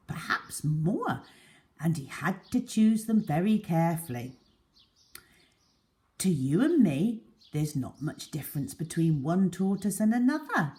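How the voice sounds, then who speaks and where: A woman reads a children's story aloud as a storyteller. Her voice is a little low and unhurried, rising and falling widely with deliberate emphasis. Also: dark tone. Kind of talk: expressive children's story reading.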